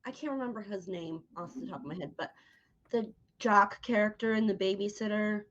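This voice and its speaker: A woman's voice: medium pitch, fairly quick, highs cut off, crowd noise. A woman hosting a casual, conversational interview, chatting along as she asks questions and shares opinions. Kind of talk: casual chat about horror.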